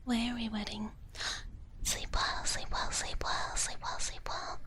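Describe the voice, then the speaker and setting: A woman whispering softly and breathily in a gentle, cutesy ASMR live stream, chatting casually to viewers and softly repeating her phrases. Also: fairly high pitch, takes it slowly. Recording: clear steady background noise.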